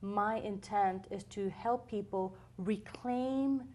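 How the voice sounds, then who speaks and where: A woman's voice: medium pitch, takes it slowly. Earnest woman from Puerto Rico. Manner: calm, earnest, explanatory with frequent "mm-hmm". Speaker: chiropractor guest. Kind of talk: interview answers.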